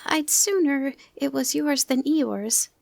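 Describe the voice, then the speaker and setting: A woman reading a storybook, voicing a small, timid male piglet in a soft little voice. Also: high-pitched, takes it slowly, vocal fry, pitched above the performer's own voice, exaggerated voice.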